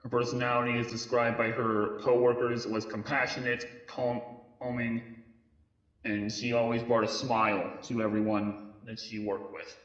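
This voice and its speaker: A muffled, lo-fi recording with a roomy echo carries a man's voice at an ordinary pitch. He narrates a police case file as if for an old evidence tape, reading haltingly and sometimes tripping over a word. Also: a bit bright. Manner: halting read-aloud delivery with stumbles over words. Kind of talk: scripted narration, mock police briefing tape in an analog horror story.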